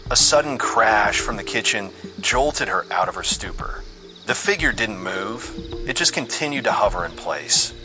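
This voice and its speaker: A man reading a scripted horror story with dramatic, suspenseful delivery. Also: fairly low pitch. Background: music under the voice.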